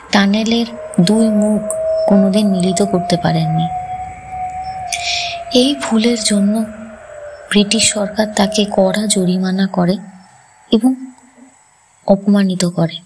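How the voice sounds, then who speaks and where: A woman host narrating a scripted horror story in a measured, suspenseful storytelling manner. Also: medium pitch, darkish tone, slight background noise.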